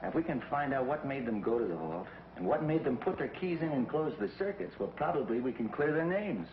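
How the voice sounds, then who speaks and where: A man's voice: mid-range voice, big pitch swings, brisk, slight background noise, lightly acted. An actor playing a brave young male space hero who reasons firmly, in a 1950s TV series. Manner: firm, reasoning. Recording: dull 1950s TV soundtrack, roomy, with music.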